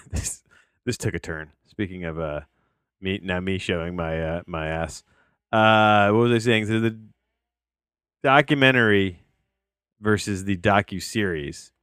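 A man's voice: sits low, unhurried, long pauses, a bit bright, clear, resonant tone, no echo, studio quality. A man in his late thirties hosting a podcast, reviewing a documentary in a loose, rambling way, restarting sentences and swearing often, recording at home. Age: 30s. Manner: rambling, profane, self-interrupting. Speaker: podcast host. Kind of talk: casual film review. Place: home, tree crew working outside. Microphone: headphones on, home recording.

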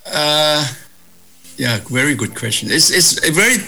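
An older man from Hong Kong with a bright but husky, gravelly voice. He talks in a measured, halting way, with fillers and restarts. Faint music plays softly behind him. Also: fairly high pitch, expressive. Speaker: guest, property executive. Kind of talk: conversational interview answers.

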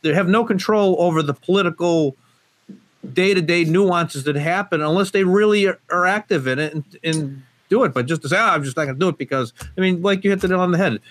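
A man's voice: high voice, fairly quick, a bit bright. A man born in Portugal and raised in the United States, a guest on a casual podcast interview, who talks emphatically with many fillers and restarts. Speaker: gun company guest.

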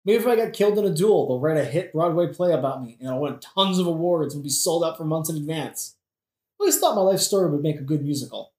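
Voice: medium pitch, lively intonation, quick pace, darkish tone, echoey room, close to an everyday voice. A performer playing a flippant, sarcastic, world-weary young prince in a modern comic audioplay, using casual slangy speech. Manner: sardonic, slangy.

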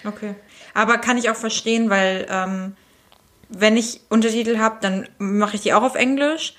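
A woman's voice: mid-range voice, steady tone, moderate pace, a bit bright. Woman thinking aloud in a casual chat. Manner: reacts with short agreements and thinks aloud.

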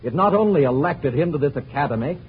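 A man's voice: fairly high pitch, expressive, fast, slightly rough. A man playing a firm academy member arguing emphatically in an old-time radio drama. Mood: insistent. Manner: emphatic debate. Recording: dull old radio recording, faint noise.

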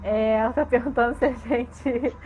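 A woman's voice: fairly high pitch, fairly even intonation, moderate pace, phone-quality sound, soft background music. A woman co-hosting a podcast, reading out questions from the live chat in a chatty, upbeat way. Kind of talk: casual chat.

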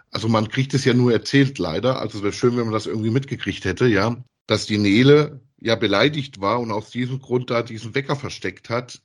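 A man co-hosting a casual review podcast, retelling a story in an easygoing, colloquial way. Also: on the low side. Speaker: podcast co-host. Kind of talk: casual review chat about an audio drama.

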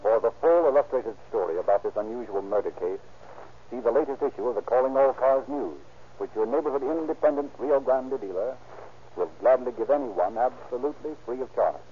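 A man's voice: mid-range voice, brisk. Formal male announcer, tinny old radio. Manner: formal, declarative. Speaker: narrator and announcer. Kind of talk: 1930s radio narration and sponsor reading. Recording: tinny, noisy 1930s radio recording.

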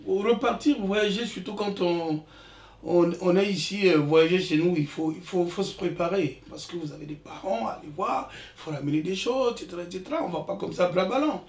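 60s congolese guy, animated storyteller, kinda far from the mic, room echo. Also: high-pitched, a little fast. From Republic of the Congo. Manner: animated storytelling, many restarts. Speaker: interviewee, father. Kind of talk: casual interview talk. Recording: echoey room, distant mic.

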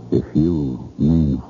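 A man's voice: rumbling low, exaggerated voice. An actor playing an earnest, haunted, obsessed man in old-time radio drama, hesitant and stammering with intensity. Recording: muffled, noisy old radio recording.

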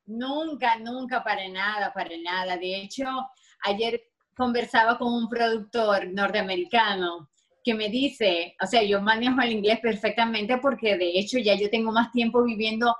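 Venezuelan woman, chatty and lively. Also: fairly high pitch, moderate pace. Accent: Venezuelan, Maracaibo. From Venezuela (Maracaibo, Zulia). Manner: chatty, many fillers and restarts. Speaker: actress guest. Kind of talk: interview conversation.

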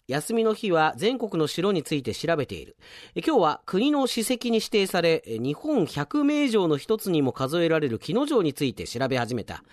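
A man's voice: a little high, no echo, clean studio sound. A man hosting a radio show, talking casually and chattily with self-deprecating asides and reading listener mail. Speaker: radio announcer and drama show host. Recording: music bed under the voice.

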